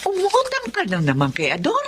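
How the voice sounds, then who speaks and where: An actress with a Filipino accent playing a poor, principled mother in a radio drama, caring but quick to scold. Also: high voice, huge pitch swings. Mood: scolding, worried. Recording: clear background noise.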